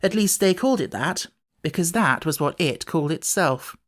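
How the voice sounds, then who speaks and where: A man's voice: fairly high pitch, expressive, moderate pace. Man narrating a children's storybook. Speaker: audiobook narrator.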